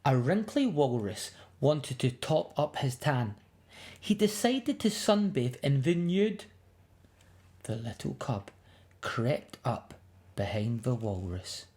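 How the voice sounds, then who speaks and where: A woman reading a picture book aloud to young children in an expressive, dramatic way. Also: rumbling low, slow. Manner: expressive, dramatic, with emphatic sound words.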